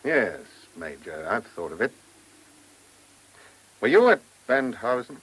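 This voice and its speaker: A man playing a principled, stern chief inspector in a radio play, with a terse, morally forceful delivery. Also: mid-range voice, sing-song, slow, breathy edge, heavily acted. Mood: terse, weary. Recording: noisy old radio drama recording.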